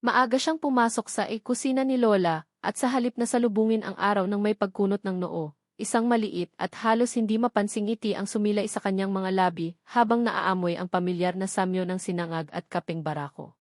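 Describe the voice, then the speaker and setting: A woman tells a scripted romantic drama as its narrator. Her voice is medium in pitch, with dramatic storytelling delivery. The recording is dry, with little room sound. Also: fairly even intonation, a little fast, no room sound.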